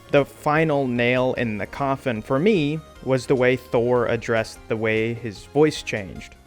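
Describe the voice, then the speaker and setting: A man narrates a scripted commentary video in a casual, opinionated manner. His voice sits at a medium pitch, with faint music playing underneath.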